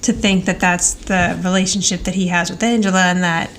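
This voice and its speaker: A woman's voice: lowish voice, steady tone, brisk, a little hiss or hum. A woman co-hosting a podcast, casually and chattily recapping television. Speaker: podcast co-host.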